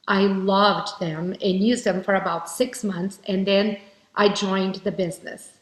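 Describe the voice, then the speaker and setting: A woman from Brazil giving an earnest, heartfelt motivational keynote speech. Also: medium pitch. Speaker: keynote speaker. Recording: roomy echo.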